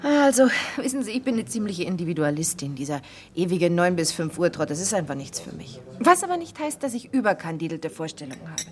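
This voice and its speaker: A woman's voice: on the low side, fairly quick. Radio play, young independent businesswoman: playful, conversational, widely varied intonation. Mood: animated, modest. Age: young adult. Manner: playful, animated, chatty. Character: lively, independent young businesswoman, teasing and self-deprecating. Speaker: actress in a radio crime drama. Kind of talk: German radio play acting, conversational dialogue.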